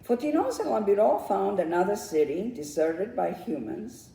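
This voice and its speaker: A woman reading her children's story aloud as its author, with dramatic, drawn-out storytelling phrasing. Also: medium pitch, very animated, gravelly, mellow. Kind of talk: storybook read-aloud narration. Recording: roomy echo, distant microphone.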